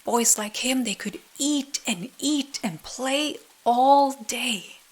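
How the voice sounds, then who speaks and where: A woman narrating a bedtime story in soft, breathy, soothing ASMR style. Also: sits high. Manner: slow, soothing. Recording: rain sounds behind the voice. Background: rain.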